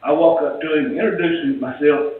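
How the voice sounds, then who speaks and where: A man's voice: a little high, fairly quick. Angry complaining man, distant and tinny. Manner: angry, swearing complaint told in short bursts. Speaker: citizen complaining at a police station. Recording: tinny, echoey clip from far off.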